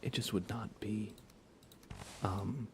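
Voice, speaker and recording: An American man speaking in soft, breathy whispers, chatting casually and unhurriedly to viewers of an ASMR livestream. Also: fairly low pitch, steady tone, a little hiss or hum. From United States. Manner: hushed, unhurried, conversational.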